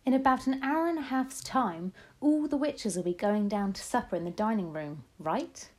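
A woman's voice: mid-range voice, animated, moderate pace, darkish tone, lightly acted. A woman voicing an elderly grandmother who is loving, resourceful and emphatic, in a storybook reading. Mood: urgent, caring.

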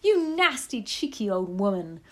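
A woman voicing a prim, snobbish, easily hysterical wife in a storybook, with shrill outrage. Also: very animated, unhurried, a bit bright. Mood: shrieking panic.

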